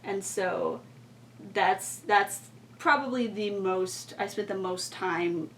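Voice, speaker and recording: A woman's voice: mid-range voice, takes it slowly, fairly bright tone. A young woman explaining things in a casual, rambling way, with plenty of informal filler words. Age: young adult. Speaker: podcast co-host and student writer. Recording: distant mic, faint background noise.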